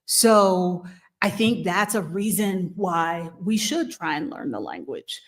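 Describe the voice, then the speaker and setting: A woman hosting a channel about moving abroad, talking casually and thoughtfully, with frequent pauses, ums and restarts. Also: on the low side, animated. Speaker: host of a move-abroad channel. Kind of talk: casual advice talk. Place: living in Mexico.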